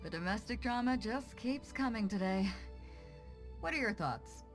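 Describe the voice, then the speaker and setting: An actress voices a commanding video game sea captain, a woman with a fairly high and very lively voice. She is confident, easygoing and plain-spoken, with music behind her. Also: normal speed, lightly acted. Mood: frank, decisive.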